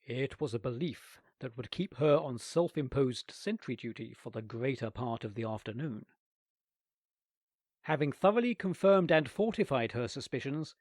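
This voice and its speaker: A man reading a humorous classic short story aloud with wry, expressive delivery. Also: medium pitch, dry recording, clean studio sound.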